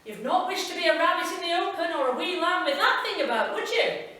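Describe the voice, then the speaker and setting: Woman playing a quick Scottish postman. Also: extremely high pitch, lively intonation, bright, forceful tone, pitched above the performer's own voice, heavily acted. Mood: playful. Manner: quick, playful. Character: cheerful Scottish postman, middle-aged man. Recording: echoey live room from far off.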